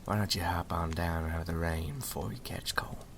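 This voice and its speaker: A man playing a folksy, hospitable wandering story collector in an ASMR roleplay, drawling in a breathy half-whisper. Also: sits low, level tone, moderate pace, a bit bright, slight background noise. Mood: welcoming, soft.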